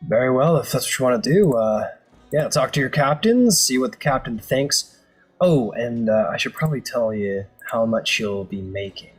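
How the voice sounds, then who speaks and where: A man's voice: mid-range voice, animated, moderate pace, slightly rough. A man playing an easygoing mercenary boss in a tabletop game, talking business in a casual, hesitant way. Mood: businesslike, casual. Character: easygoing male mercenary boss making a deal. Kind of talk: improvised tabletop roleplay. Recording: music playing under the voice.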